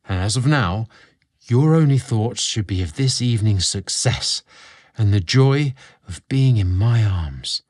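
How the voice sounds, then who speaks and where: Man as a steady, devoted young butler. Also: on the low side, unhurried. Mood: tender, reassuring. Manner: reassuring, tender, steady. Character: stoic, devoted young British butler in love. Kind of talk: audio storybook dramatic reading.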